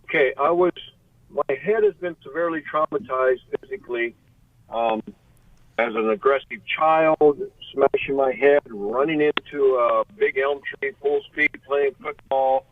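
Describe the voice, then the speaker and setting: A man's voice: mid-range voice, a little slow, no room sound. Late-sixties male caller on a car phone, candid, rambling delivery, tinny dry line. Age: 60s. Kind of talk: call-in radio chat. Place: in a car pulled over.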